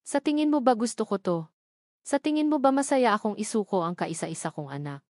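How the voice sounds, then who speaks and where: A woman's voice: mid-range voice, animated, fairly quick, a little mellow, no room sound, clean studio sound, close to an everyday voice. A woman voicing a desperate, ashamed middle-aged father in an audio drama story. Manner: earnest, desperate.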